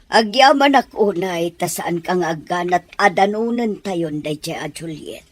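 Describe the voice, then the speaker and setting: A woman playing a gentle aging mother. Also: on the low side, lively intonation, unhurried, no echo. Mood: pleading. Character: aging mother, gentle, eager to see son wed. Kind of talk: audio drama acting.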